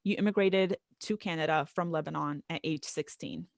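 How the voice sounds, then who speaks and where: Therapist-host, woman, lower pitch, dry studio sound. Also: moderate pace, gravelly, no room sound. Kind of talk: podcast interview.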